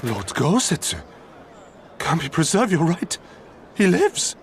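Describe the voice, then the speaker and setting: Fantasy game bit part: nervous village man, high, lively pitch, urgent delivery. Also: slow, a little hiss or hum, heavily acted. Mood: awed. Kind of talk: video game voice acting.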